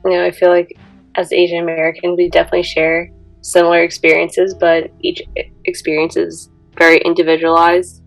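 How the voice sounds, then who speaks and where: Flat, gravelly-voiced woman graduate student. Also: fairly low pitch, fairly quick, faint music behind, low-quality recording. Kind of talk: personal interview.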